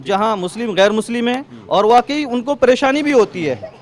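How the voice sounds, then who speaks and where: A man's voice: very high-pitched, animated, moderate pace. A man with a western Uttar Pradesh accent giving an emphatic, explanatory interview answer, repeating points for stress. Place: outdoors in a residential lane. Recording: faint outdoor street noise.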